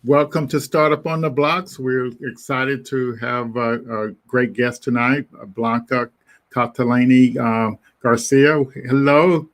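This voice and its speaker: Halting male webinar host. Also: mid-range voice. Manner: halting, many restarts and repeated words. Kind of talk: casual webinar hosting.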